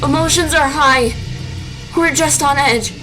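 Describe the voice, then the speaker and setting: A woman's voice: high-pitched, a little slow, low-quality recording. A woman voicing an earnest, pleading male skeleton in a dramatic comic dub, with music behind. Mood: placating.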